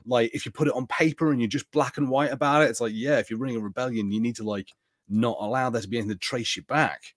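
A man's voice: mid-range voice, fast, no echo, clean studio sound. A man co-hosting a podcast, chatting casually and filling his talk with "like". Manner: relaxed, heavy use of "like". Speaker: podcast co-host. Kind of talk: casual chat.

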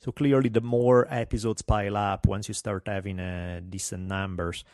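Podcast host, middle-aged man, thick Italian accent, fairly low voice, wry and self-deprecating. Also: steady tone, moderate pace, darkish tone, dry recording, clean studio sound. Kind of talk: solo podcast monologue.

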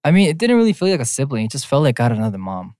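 A man's voice: mid-range voice, wildly swooping pitch, racing, darkish tone, clear, resonant tone, dry recording. Laid-back young man in a dry room. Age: 20s. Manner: candid, laid-back, says "like" a lot. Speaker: podcast co-host. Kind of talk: casual chat.

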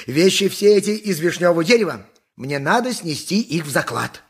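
Boastful, high-pitched tipsy donkey driver. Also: male voice, big pitch swings, moderate pace, slightly rough, full character voice. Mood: wheedling, crowing. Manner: theatrical, mocking, swinging between crowing and muttering. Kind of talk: radio play acting, 1980s.

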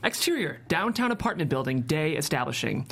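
A narrator reads out screenplay stage directions at a table read. The pitch moves around a lot, giving the scripted delivery an animated sound. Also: fairly quick, creaky.

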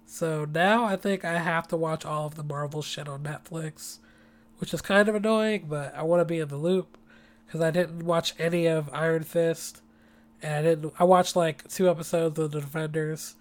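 A podcast host talks alone from home in a loose, rambling way, full of slang and enthusiasm. The voice is hoarse and sits in a middle pitch. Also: fairly even intonation, normal speed. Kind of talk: casual solo podcast chat. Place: home apartment.

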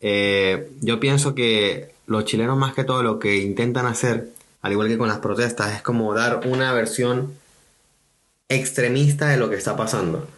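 A man's voice: medium pitch, fairly even intonation, normal speed, a bit bright. Emphatic man. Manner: direct, emphatic. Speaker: podcast co-host. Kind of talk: casual discussion.

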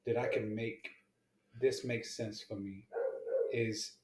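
A man's voice: fairly low pitch, fairly even intonation, takes it slowly, slight background noise. A man in his thirties, co-hosting a casual podcast chat, talking in a laid-back, slangy way and laughing often. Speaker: podcast co-host. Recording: echoey room.

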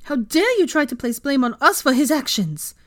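Audiobook role: excitable, forceful young woman, quick to flare, lifted in pitch by a female narrator. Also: no echo, clean studio sound. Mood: furious. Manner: forceful, indignant. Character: fiery, protective young wife, indignant and excitable.

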